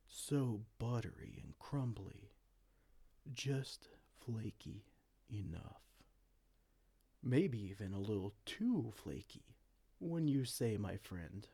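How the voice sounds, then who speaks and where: A man playing a vain, silly, soft-hearted evil king in a comedic fantasy roleplay, pompous and theatrical but slipping into casual dorkiness. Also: medium pitch, exaggerated voice. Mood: sly, savoring. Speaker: audio roleplay performer.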